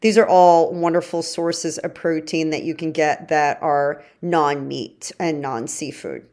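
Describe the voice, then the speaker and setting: A woman's voice: low voice, fairly even intonation, measured pace, a little mellow. A woman from Egypt hosting a podcast, explaining things in an informal, emphatic way and speaking directly to her listeners. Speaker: podcast host and chef. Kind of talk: conversational explainer on nutrition.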